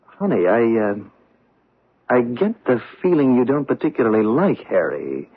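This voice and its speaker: An actor playing an affable, unsuspecting husband in a radio drama, easygoing and casually hesitant. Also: male voice, mid-range voice, very animated, normal speed, breathy edge. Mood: amused, placating. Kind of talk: old-time radio drama acting. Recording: tinny, band-limited vintage radio broadcast.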